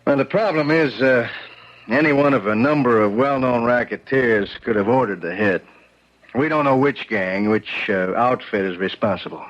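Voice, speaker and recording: An actor playing a weary, evasive police lieutenant who talks in a brusque, offhand way, in a 1970s radio drama. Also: male voice, mid-range voice, normal speed, close to an everyday voice. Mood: placating. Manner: brusque, offhand, with many uhs. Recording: dull lo-fi 1970s radio recording.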